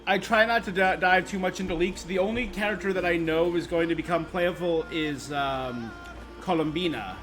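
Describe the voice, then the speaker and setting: A man's voice: sits high, moderate pace. A man streaming a game, chatting casually and thinking aloud in a rambling, opinionated way. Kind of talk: casual livestream gaming chat. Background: game music.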